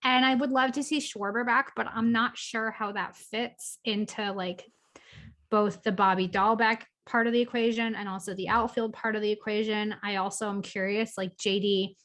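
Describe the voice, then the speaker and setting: A woman's voice: medium pitch, steady tone, normal speed, fairly bright tone. A woman co-hosting a podcast, talking sports in a casual, opinionated way with plenty of filler words. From Boston area. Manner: chatty, opinionated, lots of "like" and "um". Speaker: podcast co-host.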